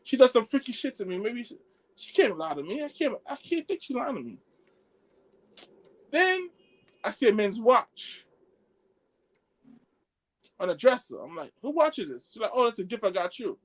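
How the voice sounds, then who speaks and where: A man telling a story among friends on a podcast, excitable and slangy, acting out the dialogue as he goes. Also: very high voice, normal speed, pauses often. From Haitian background. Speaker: podcast co-host.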